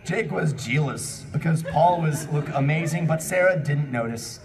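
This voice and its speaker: A man's voice: on the high side, moderate pace, crowd noise. A man reading fan fiction aloud as host of a live comedy panel, breaking off often to riff and exclaim. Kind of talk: comic live reading with asides. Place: convention panel room with audience. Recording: distant mic in a crowded panel room.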